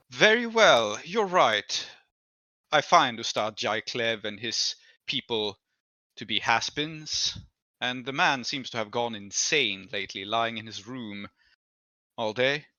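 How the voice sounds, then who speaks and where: A man voicing an amused, imperious aristocratic patron in a drawn-out, pompous manner for a tabletop game. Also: mid-range voice, expressive, a bit bright, dry recording, rough recording. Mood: musing, disdainful. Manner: slow, drawn-out, pompous delivery.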